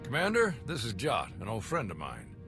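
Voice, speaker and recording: An actor playing a courteous, dutiful military vice admiral of a space fleet in a video game. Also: male voice, medium pitch, huge pitch swings, normal speed. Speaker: naval officer, fleet commander. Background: music.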